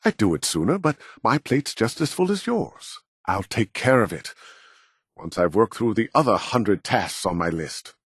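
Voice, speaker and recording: Deep, husky, arrogant male scientist. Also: very animated, no room sound, studio quality, heavily acted. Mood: busy, businesslike. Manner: measured, formal, self-important, condescending. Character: proud, arrogant middle-aged male robotics scientist. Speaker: video game character voice actor. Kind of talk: scripted video game dialogue.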